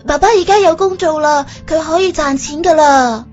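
A woman voicing an excitable, pleading small girl in a scripted audio story. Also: sits high, normal speed, dull audio, faint music behind, natural voice. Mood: excited, earnest. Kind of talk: scripted audio drama dialogue.

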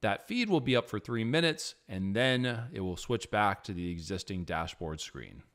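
A man's voice: lowish voice, lively intonation, moderate pace, a bit bright, faint music behind. A man, explaining technology as the host of a tutorial video. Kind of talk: explanatory tech tutorial, presenting to camera and in voice-over.

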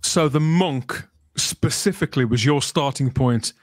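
A man's voice: medium pitch, measured pace, no echo. A middle-aged man interviewing as a podcast host, talking discursively with frequent tag questions into a close desk microphone. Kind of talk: conversational interview. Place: small furnished room at home.

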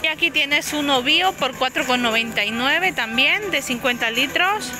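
A woman's voice: high-pitched, expressive, moderate pace. Chatty woman touring store aisles. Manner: chatty, lists prices and sizes, self-corrects. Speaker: shopping vlogger. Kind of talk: casual store walkthrough narration. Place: inside a large discount supermarket. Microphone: handheld phone camera. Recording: music playing under the voice. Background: background music.

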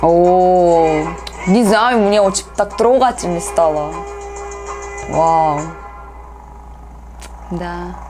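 A woman in her thirties from South Korea with a Korean accent, giving casual reaction commentary in halting, simple phrases of a second language. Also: lowish voice. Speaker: reaction vlogger. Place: small room at home, indoors. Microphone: lapel mic. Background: film soundtrack music.

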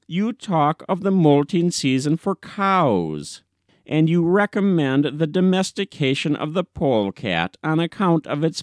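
A man's voice: fairly high pitch, darkish tone, dry recording, clean studio sound. A man reading an audiobook, playing an indignant, exasperated chief editor who scolds in a lofty, emphatic way. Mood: scornful. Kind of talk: dramatic audiobook reading of classic comic short story.

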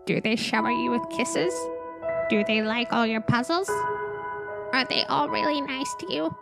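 A woman's voice: on the high side, normal speed, vocal fry. A woman voicing an earnest, naive young male skeleton in a comic dub, with emphatic, theatrical delivery. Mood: eager curious. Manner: emphatic, theatrical scolding and eager questioning. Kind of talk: amateur comic dub acting. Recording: loud music behind the voice.

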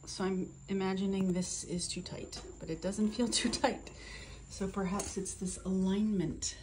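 Woman vlogger, relaxed how-to narration, unhurried delivery, garage shop sound with light background hum. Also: medium pitch, poor audio. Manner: relaxed, explaining step by step. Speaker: woodworking vlogger. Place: home garage workshop.

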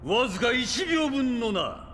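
A man's voice: extremely high pitch, big pitch swings, takes it slowly, bright, forceful tone, slight background noise, heavily acted. An actor playing an arrogant, megalomaniac immortal vampire villain in an anime audio drama, theatrical and sneering, drawing out his words. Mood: scornful. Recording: long reverb.

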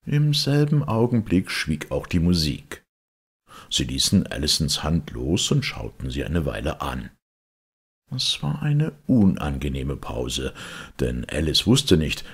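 A man's voice: very deep voice, big pitch swings, a little slow, vocal fry, breathy edge. A man narrating a storybook audiobook expressively from a script.

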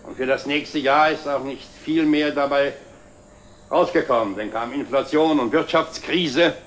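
An actor playing a jovial, self-made older company director, giving a proud, deliberate speech in a 1960s German TV drama. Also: male voice, medium pitch, lightly acted. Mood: reminiscing, genial. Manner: expansive, deliberate speechmaking with wry chuckles. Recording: slightly noisy old 1960s TV soundtrack.

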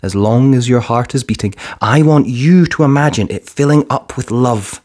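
A man voicing a kind young boy in a children's storybook, gently earnest and encouraging. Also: medium pitch, moderate pace, close to an everyday voice. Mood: gentle encouragement.

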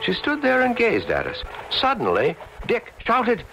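An actor playing a thoughtful, concerned friend who tells the story in measured, dramatic old radio style. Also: male voice, high-pitched, huge pitch swings, gravelly, bright, forceful tone, slight background noise, heavily acted, low-quality recording. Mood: reflective, dramatic. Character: concerned, reflective male friend narrating the painter's story.

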